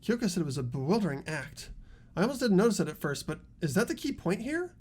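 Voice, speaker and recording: A performer playing an earnest, argumentative high school student with animated questioning in a fan-voiced game. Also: fast talker, breathy edge. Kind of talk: fan voice acting of a video game script.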